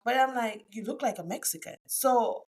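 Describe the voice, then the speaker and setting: A woman's voice: a little high, moderate pace. A woman hosting a podcast interview, chatty and effusive, often trailing off mid-sentence. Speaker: podcast host. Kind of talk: casual interview chat.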